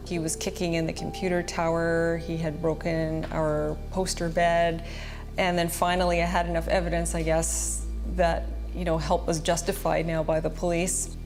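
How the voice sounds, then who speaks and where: A woman's voice: fairly low pitch, darkish tone. A woman giving a personal testimony, recounting events in an earnest, plain-spoken way. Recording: music bed under the voice. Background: background music.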